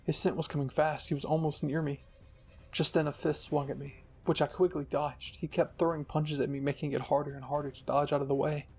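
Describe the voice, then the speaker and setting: A man's voice: fairly high pitch, level tone, fairly quick, vocal fry, darkish tone, husky. A man narrating a scripted fan-fiction story dramatically, as the host of a storytelling channel. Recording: music behind the voice.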